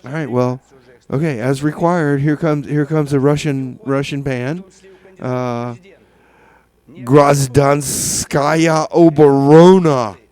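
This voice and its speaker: A man's voice: mid-range voice, steady tone, slow, dark tone, smooth. A man hosting a music radio show with casual, hesitant, tongue-in-cheek patter, often repeating phrases for effect. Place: radio studio.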